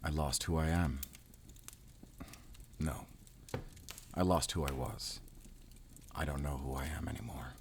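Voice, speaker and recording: A man playing a brooding, world-weary werewolf hunter in an audio roleplay, speaking in a measured, solemn, introspective way. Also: a bass voice, fairly even intonation, slight background noise. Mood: brooding, regretful. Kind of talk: cinematic audio roleplay acting.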